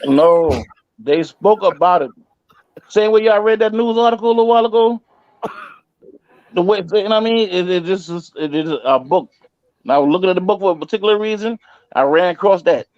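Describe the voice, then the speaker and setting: A man's voice: high-pitched, fairly quick, pauses often, no room sound, muffled recording. A man in a casual, argumentative discussion, speaking colloquially and insistently and repeating himself.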